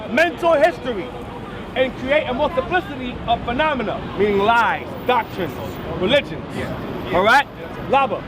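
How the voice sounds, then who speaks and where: Male street reader, high pitch, emphatic reading, crowded street. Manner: reads aloud, stressing key words. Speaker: street preacher. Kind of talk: reading a text aloud with commentary. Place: outdoors on a busy street. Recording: outdoor street recording with crowd noise.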